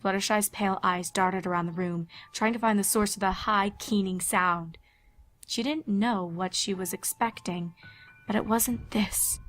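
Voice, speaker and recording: A woman reading scripted dramatic story narration in a measured, expressive way. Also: mid-range voice, soft background music.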